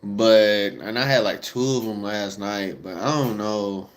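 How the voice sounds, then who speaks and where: A man's voice: on the low side, measured pace. A congested young man chatting casually and rambling to his viewers, full of slang and fillers. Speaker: vlogger.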